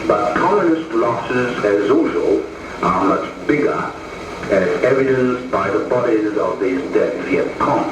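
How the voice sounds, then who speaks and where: A man's voice: on the low side, animated, a little slow, roomy sound, recorded from a distance. Man narrating over noisy old film soundtrack. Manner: formal, solemn, declamatory. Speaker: documentary narrator. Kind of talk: scripted propaganda newsreel narration.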